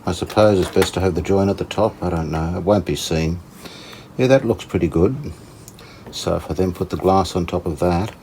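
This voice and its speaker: A man giving casual hands-on repair commentary, unhurried and tentative, as if thinking aloud. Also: deep voice, darkish tone, slight background noise. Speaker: host of a radio repair channel.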